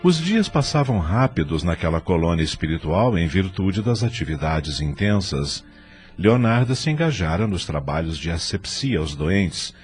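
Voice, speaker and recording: A man's voice: low-pitched, sing-song, normal speed, faint music behind. A man with a Brazilian Portuguese accent giving solemn, scripted storytelling narration for an audio drama.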